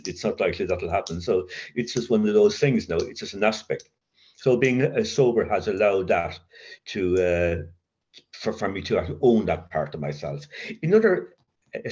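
An older man who stutters, telling his recovery story in a halting, reflective way with gentle dry humour. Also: low-pitched. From Ireland. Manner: stuttering, halting with blocks and repeats. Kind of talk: personal testimony. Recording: slightly dull, muffled recording.

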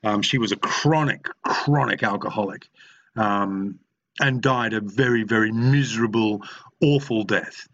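A middle-aged man with an Australian accent, sharing his story at a recovery meeting, talking haltingly with many pauses and restarts. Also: on the low side, a bit bright, muffled recording. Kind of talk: personal share at a support meeting.

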